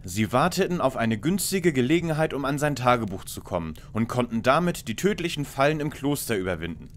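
A man's voice: medium pitch, very animated, a little fast, slight background noise, lightly acted. A man playing a know-it-all young lead detective who deduces in wordy, matter-of-fact sentences in a parody audio drama. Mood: deducing.

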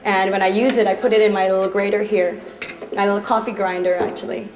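A woman's voice: mid-range voice, little pitch movement, quick pace. A woman in her thirties from Trinidad, giving a chatty, upbeat live cooking demonstration as a chef in a department store. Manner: chatty and upbeat, jokes with the crowd. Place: department store demo kitchen. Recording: echoey store floor.

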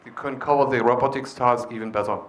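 A man leads a discussion session at a conference, speaking into a handheld microphone in a large lecture hall. He encourages the people in the room and pauses often with fillers as he explains. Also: mid-range voice, fairly even intonation. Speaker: session leader.

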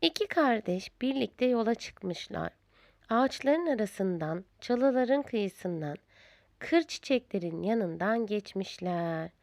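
A woman narrating a children's storybook in a deliberate, expressive storyteller's manner. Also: mid-range voice, dry recording, studio quality.

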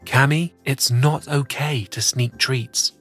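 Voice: medium pitch, steady tone, slow pace, a bit bright, soft background music. A narrator playing a patient, firm mother kangaroo. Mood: gently stern. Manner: sighing, patient, admonishing. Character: gentle, firm mother kangaroo scolding her child.

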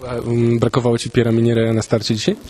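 A male sports journalist with a mid-range voice, interviewing an athlete. He asks questions conversationally, slips in quips and pauses on filler hums as he thinks. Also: medium pitch, monotone, dark tone. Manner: joking, hesitant with filler hums.

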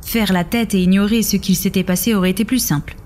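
Mellow female audio fiction narrator. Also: mid-range voice, steady tone, fast talker. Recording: music behind the voice.

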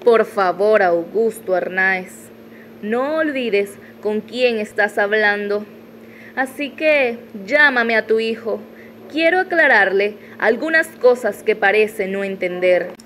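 A woman's voice: mid-range voice, animated, slow pace, fairly bright tone, slight background noise. A woman playing an imperious, desperate mother in a melodramatic radio soap opera. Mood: haughty, indignant. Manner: haughty, melodramatic. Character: imperious, desperate mother confronting her former lover.

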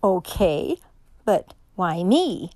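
A woman's voice: lowish voice, big pitch swings, drawn-out words, warm tone, dry recording, exaggerated voice. A woman playing a guarded, blunt young homeless woman in a radio mystery drama. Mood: suspicious. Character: wary, hardened young homeless woman, former addict.

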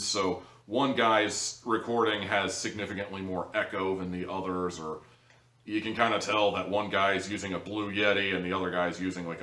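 A man with a fairly low voice talks casually to camera as a reviewer. He is blunt and sarcastic, with an exasperated edge. The living room adds clear echo to his voice. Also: pushed and bright. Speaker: audio drama reviewer, host. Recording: echoey room, distant microphone.

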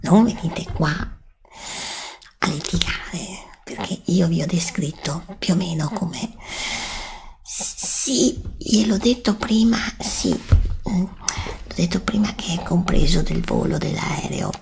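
A woman doing a soft-spoken, often whispered ASMR roleplay as a travel agent, breathy and hesitant, close to the microphone. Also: lowish voice, takes it slowly. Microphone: desktop USB microphone, close.

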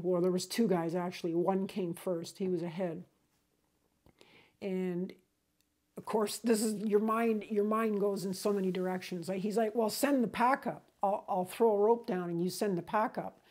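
A woman's voice: lowish voice, mellow. A woman in her fifties telling a personal hiking story to camera in a small room, rambling and correcting herself, livening up when she quotes people. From outside the United States. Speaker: hiking vlogger. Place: small room indoors with LED light bar.

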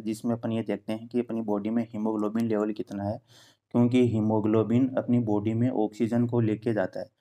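A man in his thirties, a cardiologist, calmly explaining health tests to camera in a plain indoor room, steadily reading out numbers and ranges. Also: medium pitch. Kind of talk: educational health talk to camera. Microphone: camera at close framing, head and shoulders.

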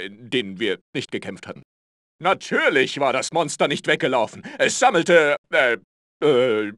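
Pompous cartoon space hero for a video game, high bright voice, bragging and bumbling. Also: male voice, no room sound, clean studio sound, full character voice. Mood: flustered. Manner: pompous, boastful, stammering when caught out. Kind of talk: theatrical cartoon game acting.

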